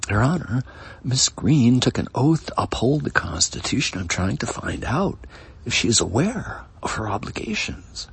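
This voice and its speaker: A man performs an insistent male prosecutor in a dramatic reading. He pushes his voice up and rasps with formal, earnest emphasis. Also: mid-range voice, very animated, normal speed, pitched above the performer's own voice, full character voice.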